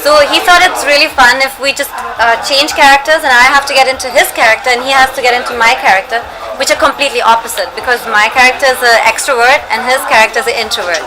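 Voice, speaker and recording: A woman's voice: high voice, steady tone, fast talker, crowd noise, low-quality recording. A young woman, an actress, chatting playfully and laughing often in a press interview; her first language is not Hindi. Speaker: actress promoting a film.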